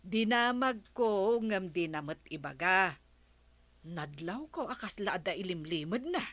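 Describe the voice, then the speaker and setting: An actress with an Ilocano accent playing a concerned, inquisitive village neighbour in a radio comedy-drama, chatty and probing. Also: female voice, mid-range voice, lively intonation, unhurried, fairly bright tone, dry recording. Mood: gossipy, puzzled.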